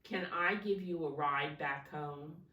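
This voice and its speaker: A woman's voice: deep voice, slow pace, bright, forceful tone, muffled recording, full character voice. A woman voicing an eager, childlike young female bear in a children's storybook, with dramatic delivery. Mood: happy. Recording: roomy echo, distant microphone.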